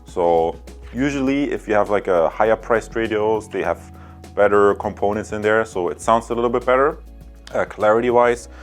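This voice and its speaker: A man's voice: on the low side, normal speed, soft background music. A man from Europe giving a casual tech product review in a hesitant, rambling way.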